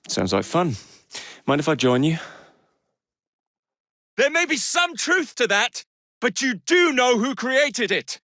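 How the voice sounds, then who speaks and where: An actor playing a cocky, witty young English gang leader in a Victorian video game, flippant and theatrical. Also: male voice, on the high side, a bit bright, dry recording, studio quality, exaggerated voice. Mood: playful. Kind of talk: video game character voice lines.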